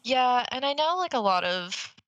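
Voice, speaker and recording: Female podcast co-host, forceful tone, dry recording, emphatic and foul-mouthed casual chat. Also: a little high, very animated, moderate pace, no echo, dull audio. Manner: blunt, sweary, emphatic.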